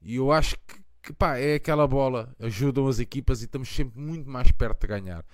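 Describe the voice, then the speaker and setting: A man's voice: mid-range voice, brisk, darkish tone, dry recording, studio quality. A man in his thirties with a European Portuguese accent hosts a live fan show, talking with passion and rambling through lots of fillers. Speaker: podcast host of a fan channel. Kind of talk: casual live chat.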